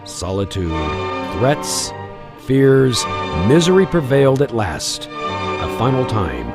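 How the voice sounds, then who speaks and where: A man's voice: medium pitch, big pitch swings, takes it slowly, over loud music. A man narrating a scripted old-style radio melodrama in a dramatic, theatrical storytelling manner.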